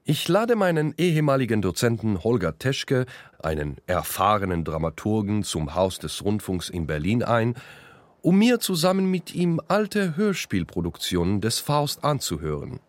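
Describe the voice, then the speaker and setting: Low-pitched, highly animated male narrator. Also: moderate pace, no echo. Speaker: narrator and author of a radio play. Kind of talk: scripted first-person documentary narration.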